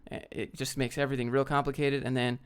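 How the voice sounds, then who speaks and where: A man's voice: mid-range voice, little pitch movement, fast, clear, resonant tone. A man from the United States hosting a livestream, chatting off the cuff in a blunt, slangy way as he reads out questions and answers them. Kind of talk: casual off-the-cuff Q&A chat, reading questions aloud.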